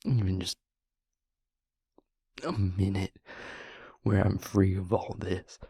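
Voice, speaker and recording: A man playing a grieving, guilt-ridden vampire confiding in his mate in an intimate audio roleplay, halting and hushed, with a breathy, husky voice. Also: deep voice, little pitch movement, no echo, studio quality, full character voice. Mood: weary, pleading. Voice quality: breathy, husky, gravelly near-whisper.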